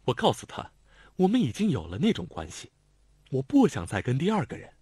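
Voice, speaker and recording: Man doing a high pleading young woman. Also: very animated, normal speed, no echo, full character voice. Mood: bold. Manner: pleading, raised, near shouting. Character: young woman, rich man's daughter, lovesick and insistent. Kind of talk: audiobook character voice.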